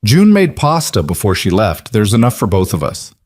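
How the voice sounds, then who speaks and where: A man's voice: on the low side, big pitch swings, normal speed, a little mellow, slightly rough, dry recording. An earnest young girl of eight , played by a male narrator, speaks carefully and precisely. She is stubborn but reasonable, in a dry, close audiobook recording. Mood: reasonable, concerned. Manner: careful, reasonable, matter-of-fact.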